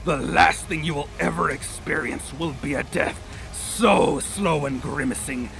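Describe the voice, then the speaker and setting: Gravelly, slow demonic villain. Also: male voice, a little high, fairly bright tone, low-quality recording. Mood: menacing. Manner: slow, menacing, gloating. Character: vengeful demonic villain who thrives on chaos. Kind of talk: horror voice-over monologue.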